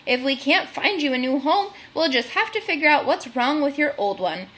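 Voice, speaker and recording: A woman's voice: sits high, sing-song, moderate pace, a bit bright. A woman voicing a confident male bear captain, a reassuring leader in a children's storybook.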